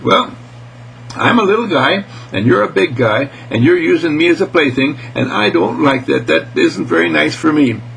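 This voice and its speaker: A man's voice: on the high side, expressive, normal speed, vocal fry, a bit bright, a little hiss or hum, voice pushed up, poor audio. A man voicing a small, indignant talking mouse in a bedtime story, scolding and pleading in plain words. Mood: plaintive scolding.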